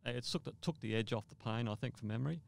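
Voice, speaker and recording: A man's voice: mid-range voice, racing, vocal fry. An older man telling a first-person story conversationally as a podcast guest, often repeating and rephrasing his words.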